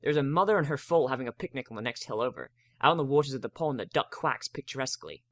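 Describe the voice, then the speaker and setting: A man giving a dramatic first-person reading of a story, expressive and wry. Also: medium pitch, fast, no echo. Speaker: narrator of a fan fiction reading.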